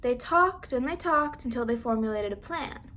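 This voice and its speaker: A woman reading a children's story aloud as an expressive narrator. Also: on the high side, moderate pace, slight background noise.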